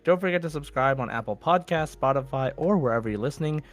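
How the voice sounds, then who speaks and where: A man with an average-pitched voice co-hosts a podcast. He speaks informally and conversationally, addressing his listeners directly. Faint music sits behind the talk. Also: expressive, quick pace. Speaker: podcast co-host.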